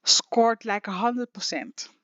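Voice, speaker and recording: A woman teaching music software in a casual online tutorial, rambling and emotional with many restarts, her voice slightly raspy. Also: fairly high pitch, slow, no room sound. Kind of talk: casual screen-share tutorial. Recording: dull lo-fi dry sound.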